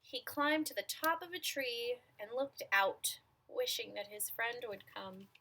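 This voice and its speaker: A woman reading a children's picture book aloud in an expressive, dramatic manner, with asides to young listeners. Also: a little high, a little slow, poor audio. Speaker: storybook reader.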